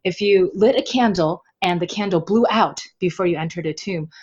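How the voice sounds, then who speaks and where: A woman's voice: on the low side, moderate pace, a little mellow, breathy edge, muffled recording. A woman chatting casually as an interview guest, playful and jokey as she explains things. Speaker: podcast guest, translator. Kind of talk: casual, animated interview chat.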